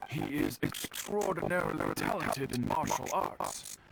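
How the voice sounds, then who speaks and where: A man playing an earnest, formal, loyal character who speaks in a measured way in a video game. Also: medium pitch, huge pitch swings, creaky, heavy background noise, exaggerated voice. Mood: pensive, wistful. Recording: loud game music behind.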